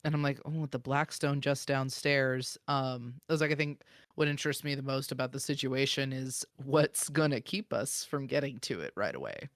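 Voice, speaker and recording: A man's voice: mid-range voice, moderate pace, fairly bright tone, dry recording, clean studio sound. A man co-hosting a podcast, chatting casually. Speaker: podcast co-host. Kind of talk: casual chat.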